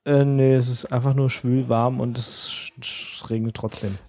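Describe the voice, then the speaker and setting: A man's voice: mid-range voice, level tone, moderate pace, mellow, no echo. A man hosting a casual podcast, chatty and upbeat as he leads the talk. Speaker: podcast host. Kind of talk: casual chat.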